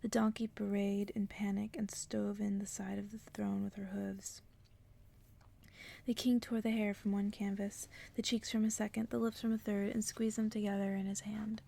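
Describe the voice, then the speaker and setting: A woman speaking in a soft, hushed whisper in the style of an ASMR bedtime reading. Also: mid-range voice, level tone, moderate pace, gravelly. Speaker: ASMR creator reading stories to listeners.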